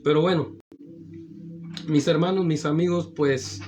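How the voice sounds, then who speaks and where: A man hosting a gospel program and preaching, slightly hoarse after the flu, repeating his greetings to his brothers and friends. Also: fairly high pitch, fairly even intonation, a little mellow, a little hiss or hum. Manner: repetitive addresses, drawn-out phrases. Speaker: evangelical preacher and program host. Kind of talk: slow, warm-toned radio-style greeting and preaching. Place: studio in Pomona, California. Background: background music.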